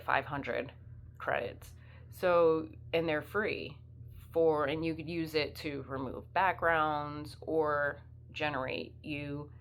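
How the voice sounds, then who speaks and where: A woman's voice: sits low, fairly bright tone, a little hiss or hum. A woman with the flu, sniffly, chatting casually and rambling as a part-time reseller in her vlog. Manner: chatty, rambling, many fillers.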